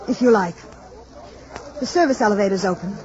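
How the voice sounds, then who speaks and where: A woman's voice: mid-range voice, fairly quick, crowd noise, lightly acted. An actress playing a sensible, caring mother with a polite, firm manner in an old-time radio drama. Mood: polite, guarded. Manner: polite, reassuring yet firm. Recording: muffled, noisy vintage radio broadcast.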